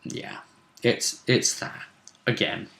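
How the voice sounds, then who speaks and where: Guy hosting a tabletop rpg recap, bright clear-cut voice, casual chat. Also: takes it slowly, reverberant. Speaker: host of a tabletop role-play recap show.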